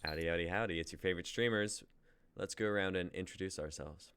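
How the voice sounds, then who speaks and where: A man's voice: sits low, fast, a crowd in the background. A young man hosting a group livestream in a casual, jokey, informal way. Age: young adult. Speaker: host of a group streaming show. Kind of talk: casual livestream intro banter.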